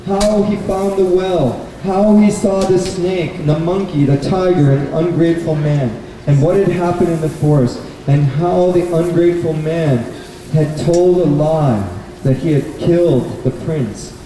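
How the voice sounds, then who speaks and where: A man telling a children's story live to an audience, in simple, deliberate phrases. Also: on the high side, steady tone, dark tone. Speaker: puppet show storyteller and presenter. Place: indoor bookstore event. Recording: very echoey, noisy hall, distant microphone.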